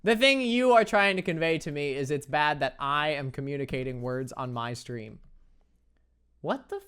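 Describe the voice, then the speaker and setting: A young man with a light, high-pitched voice, chatting casually and playfully with his viewers while he plays a game, laughing often and joking at his own expense. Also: normal speed, fairly bright tone, clean tone. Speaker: streamer. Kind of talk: casual chat reading viewer messages while gaming.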